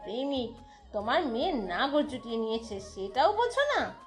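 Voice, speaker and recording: A spiteful young stepsister played by a woman in an audio drama. She is insolent and taunting, her high voice swinging animatedly over faint music. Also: very animated, normal speed. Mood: mocking. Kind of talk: audio romance story drama acting.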